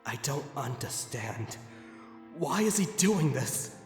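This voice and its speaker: A man's voice: medium pitch, big pitch swings, slow. A man voicing a weary, contrite young male vampire warrior for a dramatic story, earnest and subdued. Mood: bewildered. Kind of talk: dramatic storybook character reading. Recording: echoey reverb over background music.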